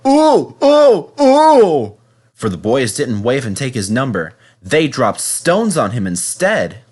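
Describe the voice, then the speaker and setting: A man reading a children's story aloud in an animated, scripted narration style. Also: mid-range voice, unhurried, breathy edge. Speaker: storybook reader.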